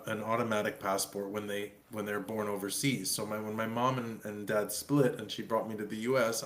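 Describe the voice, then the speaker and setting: Middle-aged man, lowish voice, earnest and explanatory, roomy echo, video call. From Argentina. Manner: earnest, explanatory, repeats words as he restarts. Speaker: immigration lawyer. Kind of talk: webinar interview answer. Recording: echoey room.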